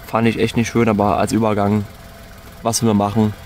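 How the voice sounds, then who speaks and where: A man's voice: fairly low pitch, normal speed, slight background noise. Easygoing man showing his car. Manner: relaxed and chatty, many fillers and restarts. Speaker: car vlogger. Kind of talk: casual vlog walkthrough. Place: in and around a car.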